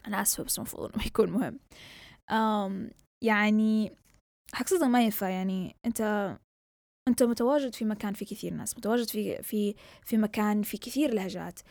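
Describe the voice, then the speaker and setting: A woman's voice: medium pitch, fast, a little mellow, no room sound, clean studio sound. A young woman from southern Yemen hosting a casual solo podcast, with a mixed Yemeni and Saudi Gulf Arabic accent, talking in a chatty, rambling way and switching between Arabic and English. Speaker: podcast host.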